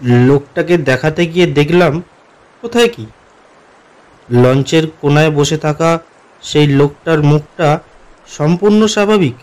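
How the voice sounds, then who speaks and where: A man playing an uneasy office commuter who narrates his own horror story in an expressive, atmospheric way for a cartoon. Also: a little high, a little slow, long pauses, lightly acted. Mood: alarmed. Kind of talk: dramatic first-person horror story narration.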